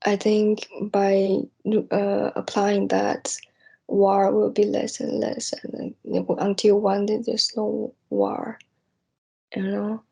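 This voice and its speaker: A young woman from East Asia speaking off the cuff and reflectively, hesitant and halting as she searches for words. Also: medium pitch, completely flat delivery, gravelly, warm tone, husky, low-quality recording. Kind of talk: unscripted spoken reflection on personal hopes.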